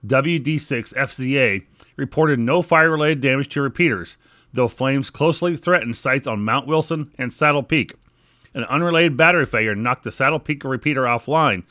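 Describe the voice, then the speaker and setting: A man's voice: mid-range voice, a bit bright, no room sound. American man hosting a hobby podcast, dry sound. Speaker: podcast host. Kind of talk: podcast news reading and sponsor reads.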